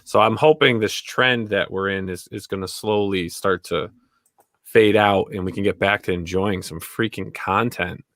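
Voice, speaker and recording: A man's voice: on the low side, fairly even intonation, normal speed, vocal fry, fairly bright tone, dry recording, clean studio sound. A man talking bluntly and casually in an opinionated panel chat, repeating his key phrases for emphasis and swearing now and then.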